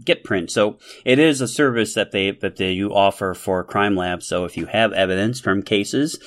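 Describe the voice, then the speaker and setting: Low-voiced male podcast co-host. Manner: chatty, repeats words. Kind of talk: casual chat.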